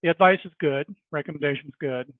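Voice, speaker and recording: A man's voice: fairly high pitch, fast, no echo. A man speaking hesitantly at a committee meeting, often restarting his sentences, heard over a video call. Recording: dry tinny phone-like line.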